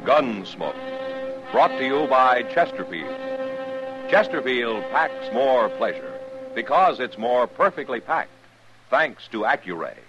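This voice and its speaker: A man's voice: medium pitch, sing-song, takes it slowly. An American man announcing a sponsor message in 1950s radio commercial style. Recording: dull old radio recording. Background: music.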